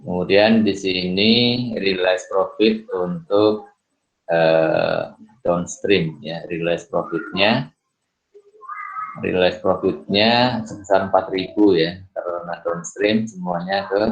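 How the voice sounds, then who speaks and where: A middle-aged man giving a recorded accounting lesson, working through problems step by step at an unhurried pace and often filling pauses with "ya" and "eh". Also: low-pitched. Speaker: accounting lecturer. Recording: dull lo-fi recording.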